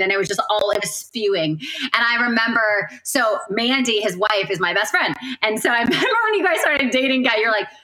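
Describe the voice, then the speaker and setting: A woman guest chatting casually on a podcast, laughing often and answering with soft "mm"s. Her voice sits a little high and sounds bright and forward. The room adds a noticeable echo. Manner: laughing often, lots of "mm" backchannel. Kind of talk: casual chat.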